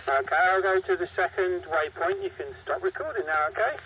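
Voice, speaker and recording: A man's voice comes through a walkie-talkie, fairly high and very bright. He speaks casually, as in a two-way radio check, over faint noise. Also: big pitch swings, brisk, rough recording. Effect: heard through walkie-talkie radio. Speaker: host.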